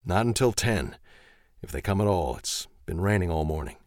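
A man playing a defensive, condescending husband who lectures curtly in a low voice. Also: animated, normal speed, a little mellow, dry recording, clean studio sound. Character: defensive pompous middle-aged husband.

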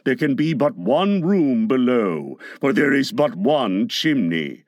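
A man voicing a villainous pirate captain in a storybook, scheming gleefully in a slow, menacing, theatrical way. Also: medium pitch, very animated, dry recording, clean studio sound, pitched below the performer's own voice. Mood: sly gloating. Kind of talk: storybook character acting.

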